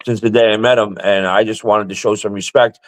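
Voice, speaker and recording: A man's voice: lowish voice, fairly even intonation, fairly quick, no echo. A man speaking as a guest in a casual call-in chat, blunt and profane, with a stutter that makes him repeat words as he starts.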